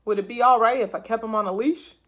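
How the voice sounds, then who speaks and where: A woman's voice: on the high side, fast, natural voice. A woman voicing an earnest, indignant middle-aged man who keeps a penguin. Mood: insistent. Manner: earnest, insistent. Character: indignant middle-aged male pet owner.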